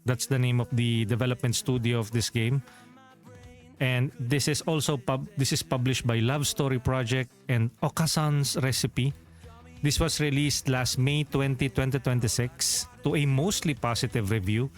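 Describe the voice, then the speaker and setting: Filipino-accented male streamer, mid pitch, loose rambling chat, faint music under. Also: steady tone, moderate pace. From Philippines. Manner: rambling, self-correcting, chuckles often. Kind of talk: casual livestream chat.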